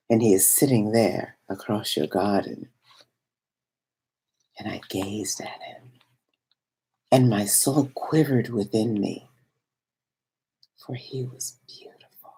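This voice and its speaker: Woman reading as wistful biblical-era woman, low-pitched, breathy, hushed, reverent, dramatic storybook monologue. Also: full character voice. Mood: hushed longing. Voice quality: breathy, husky, often dropping to whispers. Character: Mary Magdalene, wistful, awestruck woman of biblical times. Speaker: reader.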